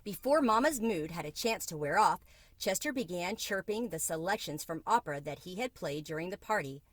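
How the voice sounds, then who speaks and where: A woman's voice: on the low side, animated, fairly quick, dry recording. A woman reading a children's book aloud as a storyteller.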